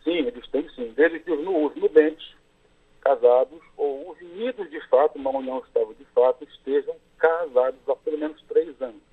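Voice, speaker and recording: A Brazilian man, a lawyer, giving measured explanations in an interview over a phone line, with fillers as he works through each point. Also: on the high side, slightly rough.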